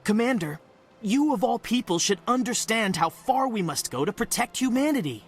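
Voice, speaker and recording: An actor playing a defensive, formal human military bureaucrat in a video game, earnest in delivery. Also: male voice, high voice, lively intonation, moderate pace, soft background music. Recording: faint noise and ambience.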